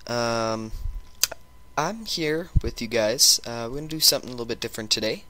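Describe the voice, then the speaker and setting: A man with a sore throat and a stuffy nose, chatting through a gameplay video and talking in a halting way with lots of ums and restarts. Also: medium pitch, pushed and bright. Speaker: gaming YouTuber. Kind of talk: casual gameplay commentary.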